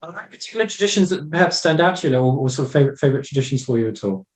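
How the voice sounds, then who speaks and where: A man's voice: medium pitch, animated, racing, darkish tone, echoey room. A man moderating an online panel, politely introducing each guest over a video call.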